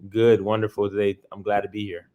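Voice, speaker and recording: A man's voice: lowish voice, level tone, a little fast, highs cut off. A man in his thirties from Miami with an American accent, talking as an interview guest and explaining things earnestly, step by step, in casual language. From Miami, United States. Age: 30s.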